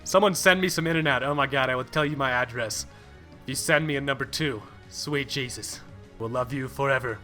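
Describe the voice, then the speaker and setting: Young male YouTuber, bright tone, laid-back and jokey, swears casually, light music bed. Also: mid-range voice, fairly quick. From California. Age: young adult. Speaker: YouTuber answering viewer questions. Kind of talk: casual Q&A voice-over. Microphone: home recording mic, no webcam.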